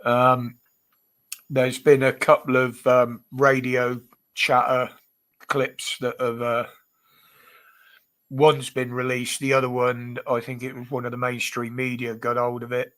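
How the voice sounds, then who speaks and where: A man's voice: mid-range voice, monotone, unhurried, fairly bright tone. A British man hosting a casual livestream chat, his nose blocked up, chatty and greeting viewers by name with frequent chuckles. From United Kingdom. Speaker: livestream host.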